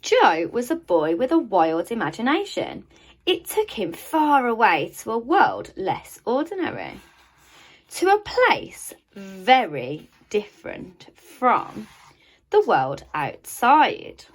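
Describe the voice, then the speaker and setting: A woman reading a children's picture book aloud like a primary teacher, with expressive storytelling, deliberate pauses and emphasised key words. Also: a little high, poor audio.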